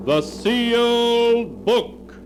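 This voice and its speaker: A man narrating a scripted 1940s radio horror show in a solemn, theatrical, measured style. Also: very high voice, expressive, music in the background. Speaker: announcer and narrator. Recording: noisy old 1940s radio recording.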